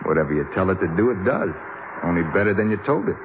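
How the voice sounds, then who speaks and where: An actor playing an earnest, shy young American jazz trumpeter with a halting delivery in a 1950s radio drama. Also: male voice, low voice, animated. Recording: muffled, hissy 1950s radio recording.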